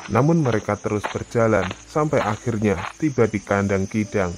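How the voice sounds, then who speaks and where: A man's voice: fairly low pitch, expressive, moderate pace. A man with an Indonesian accent giving scripted narration for a horror story. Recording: music behind the narration.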